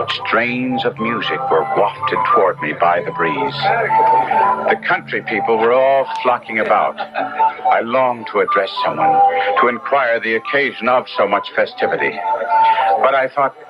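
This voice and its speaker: Male actor, tormented cursed young nobleman, deep, theatrical, florid radio horror narration, muffled vintage sound, loud music behind. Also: lively intonation, normal speed, natural voice. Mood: weary, fearful. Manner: florid, melodramatic, theatrical diction. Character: tormented young Italian nobleman trapped in a monster's body, narrating.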